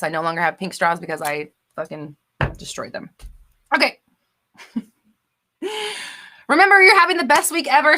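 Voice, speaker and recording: Host type: upbeat woman, animated pitch, bright and chatty, reads comments live. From United States. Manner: bubbly, laughs often, reads out viewer comments. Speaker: livestream host. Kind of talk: casual live chat reading comments.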